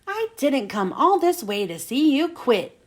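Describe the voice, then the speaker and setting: A woman's voice: sits high, big pitch swings, measured pace. A woman voicing a bitter old retired race car in a storybook, terse and stern. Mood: stern, encouraging.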